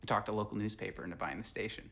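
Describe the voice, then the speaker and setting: A man's voice: lowish voice, fairly even intonation, very fast, gravelly, a bit bright. An actor playing an eager, boyish 1920s radio man, friendly and enthusiastic in a period TV drama. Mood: confiding.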